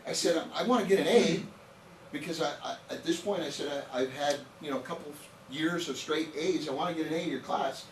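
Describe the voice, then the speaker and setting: A man's voice: on the high side, fairly quick, slight background noise. Man around 50, casual joking trainer telling stories to a live room, echoey hall, heard from some distance. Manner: chatty, joking, storytelling, rhetorical questions. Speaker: nutrition trainer. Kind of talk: informal lecture to a live audience.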